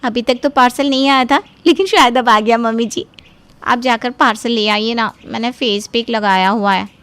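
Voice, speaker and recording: A woman's voice: fairly high pitch, normal speed, fairly bright tone, smooth, lightly acted. A woman voicing an eager, naive young daughter-in-law, perky and earnest, in a cartoon family drama. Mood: breezy.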